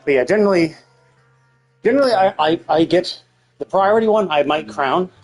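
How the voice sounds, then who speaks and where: A man's voice: high voice, expressive, a little fast. A man thinking aloud in a rambling, casual way as he streams a video game. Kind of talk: casual livestream gameplay chat. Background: music.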